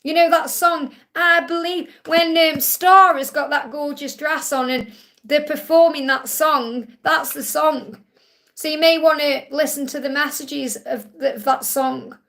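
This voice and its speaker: A woman in her forties with a high, light voice, talking straight to her viewers on a live stream. She reads out their messages and answers with gentle, reassuring readings, drifting into a sing-song lilt on the affirmations. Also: steady tone, a little slow, gravelly. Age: 40s. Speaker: spiritual reader hosting a live Q&A.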